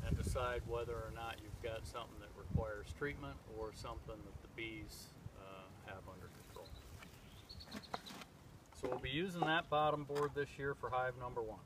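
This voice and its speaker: A man in his thirties explaining step by step as he works outdoors in spring woods, talking in a plain, methodical way with frequent pauses. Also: mid-range voice, fairly even intonation, heavy background noise. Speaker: beekeeper vlogger. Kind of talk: casual demonstration. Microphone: far camera on a tripod. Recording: distant outdoor mic with clear background ambience.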